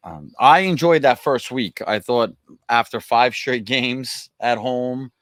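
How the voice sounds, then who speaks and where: A man's voice: mid-range voice, slow pace, no room sound. A man co-hosting a casual soccer podcast in a small studio, talking loosely and leaning on "you know". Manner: relaxed, leans on "you know". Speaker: podcast co-host. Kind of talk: casual sports chat.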